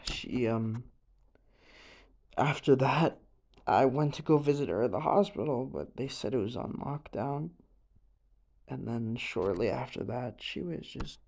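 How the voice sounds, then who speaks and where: A man playing a distraught, grieving young man in an audio roleplay confession, stammering and trailing off as he haltingly tells an unbelievable story. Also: mid-range voice, gravelly, a little mellow. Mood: sorrowful, subdued.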